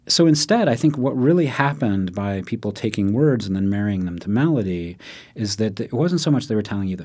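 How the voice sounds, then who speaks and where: Man, lower voice, casual explainer, music historian, animated asides. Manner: animated, acts out quotes in raised voice, frequent "right?". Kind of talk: conversational explanation.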